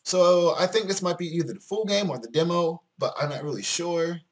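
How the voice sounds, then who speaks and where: A man's voice: on the high side, brisk. A man giving casual let's play commentary, rambling and repeating himself as he talks to his viewers. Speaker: video game streamer.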